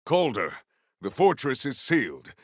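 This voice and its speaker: An actor playing a solemn, honor-bound warrior-monk in a video game, speaking in a formal, stately, theatrical way. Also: male voice, medium pitch, very animated, measured pace, no echo, clean studio sound. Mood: formal, steady. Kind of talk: scripted video game dialogue.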